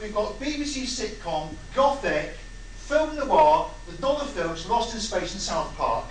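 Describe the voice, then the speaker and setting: A man's voice: high voice, sing-song, measured pace. A man leading an informal audience brainstorming session as host, joking, exasperated and mildly sweary. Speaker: panel host. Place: echoey convention room. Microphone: far from the mic. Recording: echoey room, far mic, noisy.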